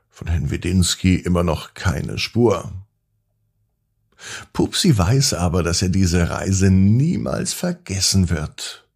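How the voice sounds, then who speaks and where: A man narrating a bedtime story for young children in an expressive, gently drawn-out storytelling manner. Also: lowish voice, slightly rough.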